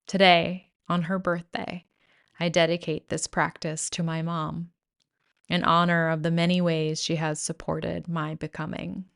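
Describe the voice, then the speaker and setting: A woman guiding a yoga nidra meditation in a soothing, gentle, measured way with pauses. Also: on the low side, flat delivery, gravelly, smooth. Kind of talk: guided meditation narration.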